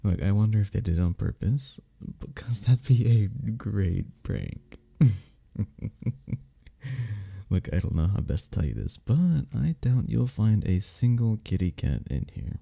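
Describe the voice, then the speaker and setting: A man playing a flirty, friendly club member in an ASMR romance roleplay, teasing and reassuring in a breathy, gravelly voice. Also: lowish voice, sing-song, moderate pace, hardly any pauses, dark tone, full character voice. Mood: amused, teasing. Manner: teasing, reassuring, chuckles between phrases. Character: flirty, friendly male cuddle club member showing a newcomer around. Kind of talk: ASMR romance roleplay, one-sided conversation.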